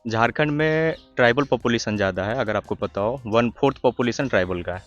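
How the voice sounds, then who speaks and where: A man from Jharkhand explaining facts in a steady interview answer. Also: mid-range voice, fairly quick, muffled recording, faint music behind. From Jharkhand, India.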